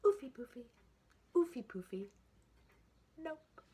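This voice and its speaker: Storybook piglet girl, played by a woman: high-pitched, swooping, sing-song, stubbornly cheeky. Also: animated, slow pace, warm tone, slightly rough, full character voice. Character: plump little girl piglet, cheeky and defiant.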